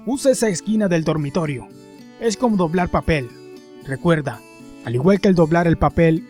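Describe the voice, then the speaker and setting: A man voicing the grave writer of a secret plan, giving slow, deliberate, hushed instructions in a dramatized story. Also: fairly high pitch, pitched below the performer's own voice, lightly acted.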